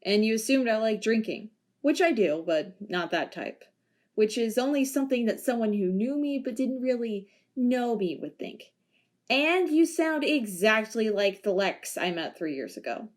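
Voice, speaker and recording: A woman's voice: fairly high pitch, animated, moderate pace, lightly acted. A woman playing a retired policewoman in a wheelchair, dry and matter-of-fact in an amateur audio drama. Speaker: narrator and lead character.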